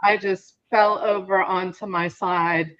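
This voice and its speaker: Older female guest, medium pitch, casual storytelling, slightly dull recording. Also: fairly even intonation, takes it slowly, a bit bright. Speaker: guest, nonprofit board president. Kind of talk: casual anecdote telling.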